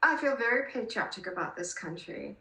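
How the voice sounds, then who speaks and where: High, bright-voiced South African woman. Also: very animated, fairly quick. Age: 50s. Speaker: podcast guest, political columnist. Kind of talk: conversational interview answers. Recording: roomy, echoing sound.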